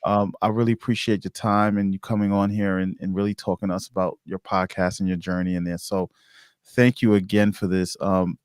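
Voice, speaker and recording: Guy podcast host, lowish voice, casual livestream chat, appreciative, very dry audio. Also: flat delivery, brisk, clean tone, no echo. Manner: conversational, appreciative, repeats his thanks. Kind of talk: casual live-stream hosting.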